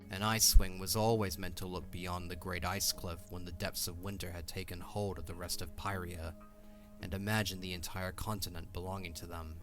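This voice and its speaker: A man's voice: low voice, flat delivery, moderate pace, over music. A man narrating a fantasy audio drama as a scripted storyteller.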